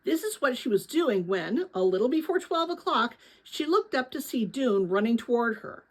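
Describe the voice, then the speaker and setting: A woman reading a children's novel aloud as dramatic, expressive story narration. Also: fairly high pitch, normal speed. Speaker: audiobook-style reader of a children's novel.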